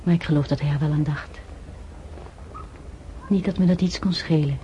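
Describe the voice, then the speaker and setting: An actress playing an earnest, tender young noblewoman in a radio play, speaking in a measured, formal, softly emotional way. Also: deep voice, steady tone, dull audio, slight background noise, lightly acted. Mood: reflective, tender. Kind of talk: Dutch radio play acting and first-person narration.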